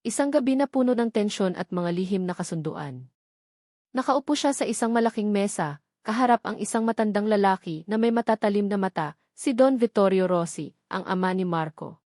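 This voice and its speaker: A Filipino woman narrates a dramatic love story from a script. Her voice sits at a middle pitch. The sound is dry and close, with little room around it. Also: lively intonation, brisk, darkish tone, dry recording.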